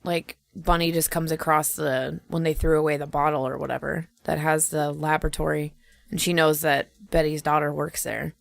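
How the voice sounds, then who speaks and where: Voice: mid-range voice, little pitch movement, normal speed, creaky, dry recording. A podcast co-host trading casual comic banter, blunt and foul-mouthed, throwing in jokey interjections.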